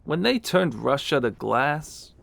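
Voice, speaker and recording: A man's voice: fairly high pitch, sing-song, takes it slowly, creaky, a bit bright, exaggerated voice. A man playing a grim human survivor set on retribution in an audio drama. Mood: grim, solemn.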